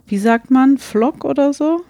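A woman co-hosting a podcast, explaining things in a casual, halting, self-correcting way. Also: high voice, mellow, no room sound. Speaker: podcast co-host.

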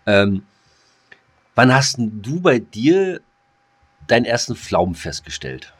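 A man's voice: mid-range voice, very animated, slow, husky. A man co-hosting a casual comedy podcast, joking with many stammers and hesitations. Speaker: podcast co-host.

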